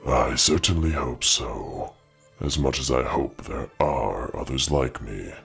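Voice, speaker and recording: A man playing a proud, grumbling but affectionate blue dragon with a gravelly voice in a fantasy audio drama. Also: expressive, slow pace, fairly bright tone, soft background music, heavily acted. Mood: wistful grumbling. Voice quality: very deep, gravelly.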